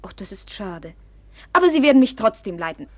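An actress playing a proud, impulsive, jealous young woman of good family in a classic German radio play, her manner volatile and demanding, her voice breathy. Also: mid-range voice, big pitch swings, a little fast, a little mellow, no echo. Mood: earnest, confiding. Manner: imperious, volatile, swinging from confiding to accusing.

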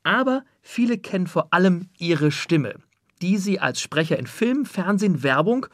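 A man's voice: high-pitched, animated, normal speed, no echo, clean studio sound. A man hosting a radio interview, putting prepared questions to his guest.

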